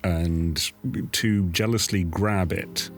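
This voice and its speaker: Low-voiced man reflecting in an interview. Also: fairly even intonation, slow pace, faint music behind. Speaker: writer, creator of the character.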